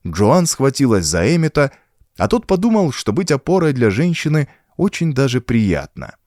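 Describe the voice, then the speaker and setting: A man reading a horror story as an audiobook narrator, with expressive, suspenseful delivery. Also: lowish voice, no echo, studio quality.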